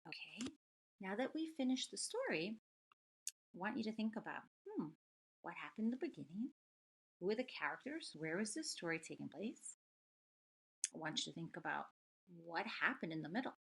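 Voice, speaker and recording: A woman elementary school teacher reading a story aloud and talking to children, expressive and full of questions. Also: mid-range voice, moderate pace, gravelly, long pauses, breathy edge, poor audio. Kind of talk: children's read-aloud and lesson.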